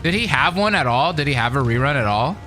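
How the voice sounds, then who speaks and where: A man doing excitable game reaction commentary, with loud outbursts and cut-off swearing. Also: medium pitch, quick pace. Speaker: gaming commentator. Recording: voice over loud game music. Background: game music.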